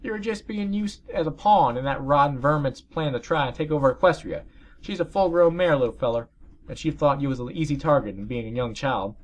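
A man's voice: fairly high pitch, brisk, muffled recording, pitched above the performer's own voice, lightly acted. A man voicing a kind, folksy farm pony mare with a drawl as he reads fan fiction aloud. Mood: reassuring.

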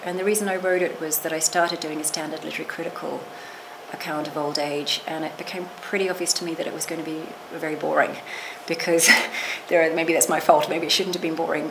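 A woman's voice: low voice, little pitch movement, brisk, vocal fry. A middle-aged woman, a literature professor, talking thoughtfully in an interview, qualifying herself with restarts and asides. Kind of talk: reflective interview talk. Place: small lecture room, seated. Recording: noisy room recording.

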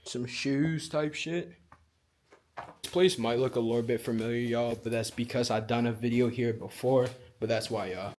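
A young man casually vlogging while he explores an abandoned school, talking straight to his viewers in relaxed slang. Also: medium pitch, normal speed, slight background noise. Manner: laid-back slangy, keeps addressing viewers. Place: abandoned school, indoors and on roof. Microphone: handheld camera at arm's length.

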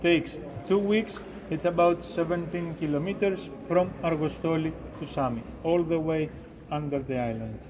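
A man's voice: fairly high pitch, normal speed, background noise. A man in his thirties with a Greek accent, guiding a boat tour in plain, short, joking sentences. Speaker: boat guide. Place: large cave lake, on a rowing boat. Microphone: phone held by a passenger.

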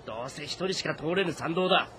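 A man playing a bold, rough mountain rebel leader with a blunt, confident delivery. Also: on the high side, fast, fairly bright tone, a little hiss or hum, natural voice. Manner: blunt, assertive. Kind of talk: dramatic audio drama acting.

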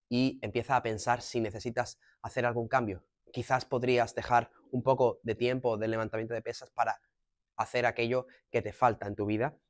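A man in his thirties with an accent from Spain, a fitness coach giving measured, didactic advice straight to the viewer. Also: medium pitch. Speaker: fitness coach presenting a lesson. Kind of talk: explanatory advice to camera. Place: gym room, indoors. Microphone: clip-on lapel mic.

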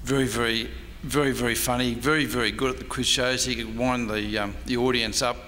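A man's voice: mid-range voice, fairly even intonation, a little fast, pushed and bright. An Australian man giving an informal slide-show talk as a historian. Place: convention meeting room. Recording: echoey hall, faint noise.

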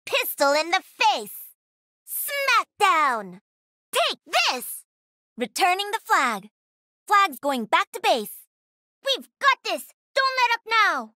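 An actress playing a cocky, playful young pro-gamer mech pilot in a video game, with peppy, punchy delivery. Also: female voice, very high voice, big pitch swings, takes it slowly, sharp tone, no echo, studio quality, exaggerated voice. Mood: cocky, combative. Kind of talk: video game character voice lines.

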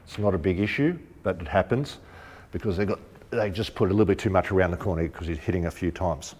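A man's voice: low-pitched, fast talker, darkish tone, slightly rough. A man, casually demonstrating rooftop tent fittings in a large warehouse showroom, chatty and practical with lots of ums. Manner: chatty, practical, thinks aloud with frequent ums. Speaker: shop presenter demonstrating rooftop tents. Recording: long warehouse reverb, faint music.

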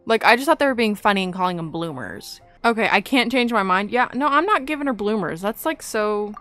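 A woman's voice: medium pitch, animated, brisk, a bit bright. A young adult woman giving casual gameplay commentary, chatty, reactive and playfully incredulous. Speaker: gaming YouTuber. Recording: game music behind the voice.

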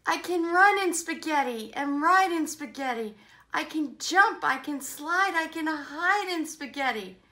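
A woman voicing an excitable, greedy, stubborn girl monkey in a children's storybook, with gushing, emphatic, singsong delivery. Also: sits high, measured pace, pitched above the performer's own voice, heavily acted. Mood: gleeful. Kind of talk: children's storybook read-aloud.

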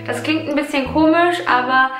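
A woman in her twenties from Germany talks casually from her sofa, pausing now and then to find the right words. Her voice sits high, and the room gives it a noticeable echo. Music plays softly in the background. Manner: chatty, reflective, searching for words. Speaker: vlogger answering viewer questions. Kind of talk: casual Q&A vlog. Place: living room, seated on sofa.

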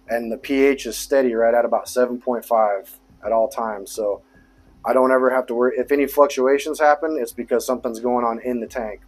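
A man with an average-pitched voice giving a relaxed tour of his basement water room. He explains his equipment step by step in a plain, practical, matter-of-fact manner. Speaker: fish tank hobbyist giving a tour. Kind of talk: casual walkthrough tour.

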